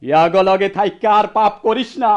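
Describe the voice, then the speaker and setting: A man's voice: sits high, fairly quick, lo-fi sound, natural voice. An actor playing a righteous, anguished young man with a rural Bangladeshi accent, declaiming accusingly in a radio drama. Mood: anguished, resolute. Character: righteous, anguished grown son defying his wicked father.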